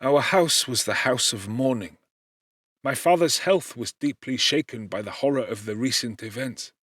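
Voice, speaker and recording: A male audiobook narrator giving a measured, intense first-person reading of a classic novel. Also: medium pitch, fairly bright tone, husky, dry recording. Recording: dry close studio.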